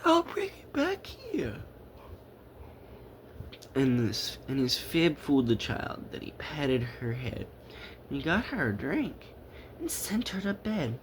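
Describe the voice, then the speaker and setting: Male storyteller for children's read-aloud, slow and halting, amateur stumbles, home recording with faint noise. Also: medium pitch, animated. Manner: halting, stumbling over the text.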